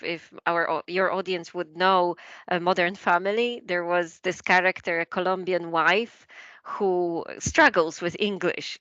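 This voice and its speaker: A woman who coaches languages, Polish by first language, talks through a podcast interview in a lower, bright and crisp voice. She fills her speech with ums, restarts and small laughs. The audio is dry but somewhat dull and lo-fi. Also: no room sound. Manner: chatty, laughs often, many fillers and restarts.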